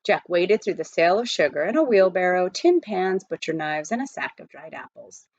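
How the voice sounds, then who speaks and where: A woman reading a story aloud as scripted, expressive storybook narration, in slightly muffled audio. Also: lowish voice, moderate pace, dry recording. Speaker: audiobook reader.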